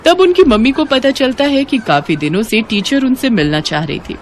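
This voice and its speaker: A woman's voice: a little high, animated, normal speed, a little mellow, a little hiss or hum. A woman narrating a scripted moral story as a storyteller.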